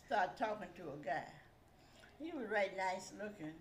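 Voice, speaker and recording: A woman's voice: medium pitch, animated, moderate pace, echoey room. Old grandma just chatting about the past, wanders and trails off, bit of noise behind her. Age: older, grandmother. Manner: rambling reminiscence, trailing off mid-thought. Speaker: grandmother telling stories. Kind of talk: casual storytelling chat.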